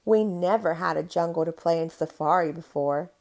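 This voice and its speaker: A woman's voice: lowish voice, normal speed, a little mellow, lightly acted. A woman voicing an adventurous, imaginative young boy in a children's storybook, with earnest, simple delivery. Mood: excited.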